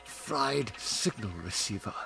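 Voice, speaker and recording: A man's voice: mid-range voice, takes it slowly, vocal fry, very noisy. A man playing an argumentative alien time-traveller hero in an audio drama, impassioned, sardonic and lecturing. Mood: flustered, urgent. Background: dramatic music.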